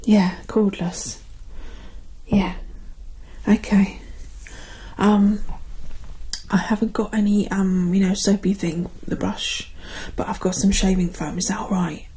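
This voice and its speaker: A woman speaks in a soft, heavily breathy voice that often slips close to a whisper. Her pace is slow and her manner gentle and casual, as if chatting one-to-one with a client in an ASMR barber roleplay. The pitch sits in a medium female range, close to the mic. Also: level tone, poor audio. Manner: gentle, unhurried, casual one-sided chat. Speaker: ASMR performer playing a barber. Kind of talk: soft-spoken ASMR personal-attention roleplay. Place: living room at home.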